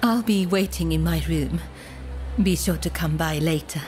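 Woman playing a poised, secretive courtesan spy, low-voiced. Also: music in the background, close to an everyday voice. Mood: coy, courteous. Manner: measured, formal, coy. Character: poised, secretive young Japanese courtesan spy, politely commanding. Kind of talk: video game cutscene acting.

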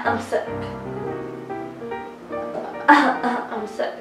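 A young woman chatting and joking with friends on a couch, excitable and quick to laugh. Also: a little high, music in the background. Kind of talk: casual chat. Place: living room couch indoors. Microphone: far camera. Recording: echoey room, camera at a distance.

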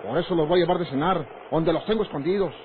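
An actor playing a bossy, short-tempered ranch man with a gruff rustic Mexican manner in an old radio drama. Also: on the high side, quick pace, lightly acted. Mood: irritated, threatening. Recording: noisy, tinny old radio recording.

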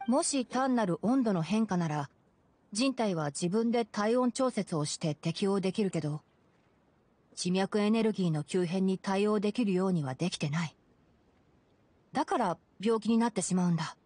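A woman voicing a calm, scholarly young male fox-eared forest ranger in a Japanese anime game, speaking in a measured, explanatory way. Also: medium pitch, slightly rough, no echo. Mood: calm, explaining.

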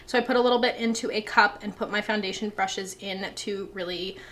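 A woman's voice: medium pitch, steady tone, fairly quick, a bit bright. A woman in her twenties chatting casually to the camera at home, bubbly and gushing, with lots of filler words. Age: 20s. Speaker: beauty vlogger. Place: home, bathroom and living room.

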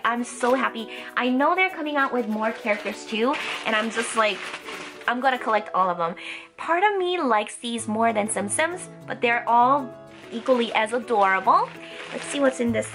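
A young woman chatting casually and excitably as a haul vlog host, slightly stuffed up from a cold. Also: a little high. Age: young adult. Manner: bubbly, excitable. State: stuffy, getting over a cold. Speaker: host of a toy haul channel. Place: indoor room lined with plush toys.

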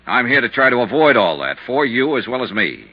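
A man's voice: medium pitch, very animated, fairly quick. An American man acting a tough, persistent insurance investigator in a 1950s radio detective drama, clipped and probing. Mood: firm. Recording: muffled lo-fi 1950s radio recording.

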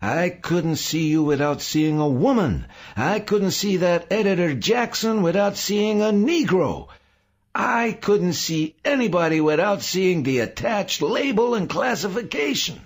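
A man's voice: deep voice, slow pace, breathy edge, exaggerated voice. A man voicing an anguished old man in an audiobook, ranting and crying out through tears. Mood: gasping realization. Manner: shrieking, sobbing, ranting. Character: anguished weeping old man in hysterical revelation. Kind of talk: audiobook character acting.

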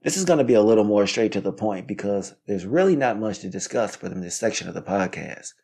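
A man in his thirties giving scripted, instructional solo podcast narration in a measured way, as if reading from notes. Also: on the low side, lively intonation, vocal fry, mellow, husky. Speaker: podcast host.

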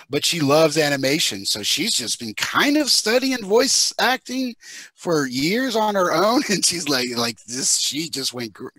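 A middle-aged man talking casually on an online panel through a headset, halting as he thinks aloud. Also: medium pitch, bright, forceful tone, no room sound. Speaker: panelist, audio drama maker. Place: dim room at home, video call. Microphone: headset on a video call.

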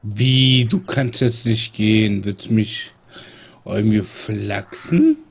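Let's player, slow gravelly husky voice, stuffy nose, mutters at the game. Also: male voice, lowish voice, expressive. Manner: muttering, teasing the character. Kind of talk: casual gameplay commentary.

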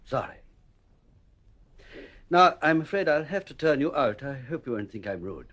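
An actor playing a sinister, wealthy gentleman with a soft, snaky, silky voice and a polished, condescending manner, in old-style radio drama acting. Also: mid-range voice, big pitch swings, moderate pace. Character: smooth, sinister gentleman racehorse owner.

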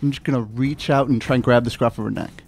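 A man's voice: mid-range voice, fairly even intonation, quick pace, darkish tone. A man in his twenties talking casually as a player in a tabletop game, hesitantly thinking aloud. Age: 20s. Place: small indoor podcast studio. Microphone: close studio microphone with foam cover. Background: background music.

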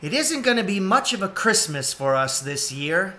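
A man's voice: sits high, big pitch swings, moderate pace, bright, forceful tone, pitched above the performer's own voice, full character voice. A man voicing a plucky, kindly otter mother in a storybook, with a plain, resigned yet hopeful delivery. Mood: wistful, resigned.

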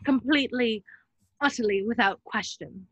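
A woman playing a clever, sensible young witch who gives brisk, bossy instructions. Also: on the high side, breathy edge, no echo, highs cut off. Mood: firm, instructing. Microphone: video call.

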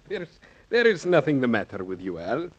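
An actor playing a breezy, reassuring surgeon with a casual, matter-of-fact manner in a 1950s radio drama. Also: male voice, fairly high pitch, exaggerated voice. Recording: dull 1950s radio recording.

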